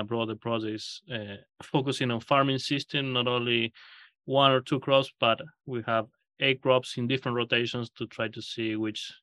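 A man from Argentina, a guest researcher, speaking haltingly with many fillers in a podcast interview. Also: medium pitch, sharp tone, no echo.

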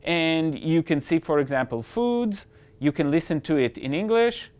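A man's voice: on the high side, normal speed, darkish tone, clear, resonant tone. A man whose first language is Hebrew, presenting an upbeat, explanatory screencast tutorial on classroom apps. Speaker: educational technology presenter.

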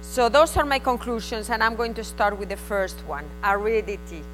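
A woman's voice: fairly high pitch, moderate pace, soft background music, rough recording. Spanish-accented woman giving a conference talk. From Spain. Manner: frank, conversational, speaks in short bursts. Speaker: invited conference speaker. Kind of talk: conference presentation. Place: conference hall.

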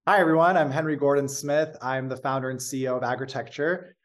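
A man with an American accent, a company founder talking as an interview guest, telling his story in a measured, fluent way with the odd filler. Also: medium pitch, steady tone. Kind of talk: casual interview talk.

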